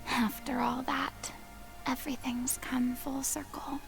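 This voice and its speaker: A woman playing a young, theatrical former star, wistful and proud, with a dramatic, measured delivery in a game. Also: sits high, level tone, creaky, faint music behind, exaggerated voice. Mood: resigned, reassuring.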